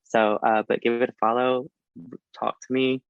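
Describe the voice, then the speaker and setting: Guest read: man in his twenties, from Alabama, easygoing and halting, mid pitch, dry and slightly dull recording. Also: clear, resonant tone, no room sound. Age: 20s. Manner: relaxed, halting, many fillers and restarts. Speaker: dental student guest. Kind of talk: casual interview chat.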